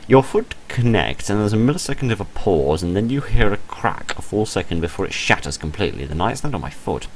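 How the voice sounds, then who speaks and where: A man's voice: fairly low pitch, sing-song, a little fast, darkish tone, a little hiss or hum. A British man hosting a game playthrough, reading story text aloud theatrically and reacting with incredulous, hesitant asides. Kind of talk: gaming commentary, reading visual novel text aloud with ad-libbed reactions. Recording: music under the voice. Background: background music.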